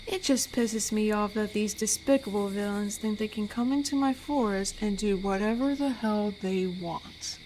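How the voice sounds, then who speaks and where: A woman voicing an amiable, rambling werewolf who guards a forest, in an intimate audio roleplay with a chatty, gently awkward manner. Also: mid-range voice, moderate pace, few pauses, slight background noise, lightly acted, rough recording. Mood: indignant. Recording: night cricket ambience behind voice. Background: crickets and forest night sounds.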